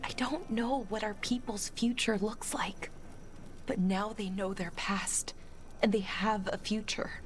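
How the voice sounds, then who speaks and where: An actress playing a grieving, earnest young elf woman in a video game, speaking tenderly in halting short phrases. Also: mid-range voice, steady tone, lightly acted. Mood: grieving, hushed. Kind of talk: video game cutscene acting. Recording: game audio with music underneath.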